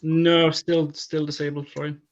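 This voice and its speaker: A man's voice: on the high side, level tone, measured pace. A man giving an informal technical presentation on a video call, often restarting his phrases as he goes. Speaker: technical presenter, DevOps consultant. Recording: dull lo-fi video call audio.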